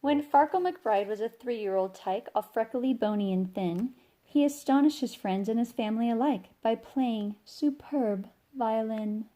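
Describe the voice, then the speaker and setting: A woman reading a rhyming children's picture book aloud in an expressive, sing-song way. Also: a little high, unhurried. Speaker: storybook reader.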